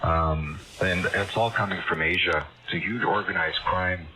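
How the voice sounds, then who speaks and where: A man's voice: sits low, moderate pace, a crowd in the background. A man chatting casually and a little hesitantly over a dull, noisy ham radio transmission. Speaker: amateur radio operator.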